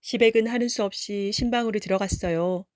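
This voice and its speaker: A woman storyteller narrates a children's folktale. Her pitch is mid-range and her phrasing is that of a picture-book reader. The recording is dry, with almost no room sound. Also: fairly quick, a little mellow, no echo.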